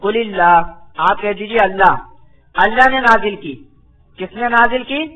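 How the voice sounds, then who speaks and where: A man's voice: high voice, normal speed, dull audio, soft background music. A man giving a religious lecture as a Quran teacher, reciting verses phrase by phrase, then translating and explaining them with didactic repetition. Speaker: Islamic scholar, Quran teacher.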